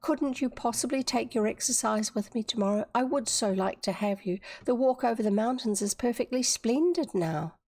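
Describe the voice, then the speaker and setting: Woman voicing a gentle, earnest schoolgirl, pitched up. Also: moderate pace, few pauses. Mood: pleading. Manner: pleading, tender.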